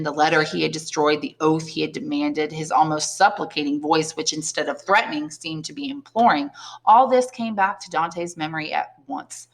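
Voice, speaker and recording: Low-voiced woman narrating a classic novel. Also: a bit bright. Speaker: English teacher reading a novel aloud. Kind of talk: expressive storybook narration.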